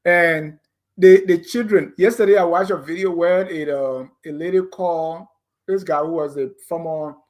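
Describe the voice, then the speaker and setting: Male interviewer; casual, halting, repeats words before getting to the question. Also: a little high, fairly even intonation. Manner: hesitant, stumbling restarts.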